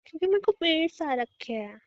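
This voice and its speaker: A woman playing a hesitant, exasperated young bride who explains haltingly and pleadingly in a conversational audio drama. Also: extremely high pitch, big pitch swings. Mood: patiently explaining. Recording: dull, lo-fi call-recording sound.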